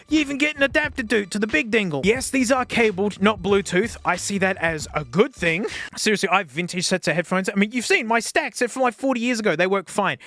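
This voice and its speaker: Casting: Australian male vlogger, high voice, informal and jokey, excitable off-the-cuff product reviews. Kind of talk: casual, unscripted product review.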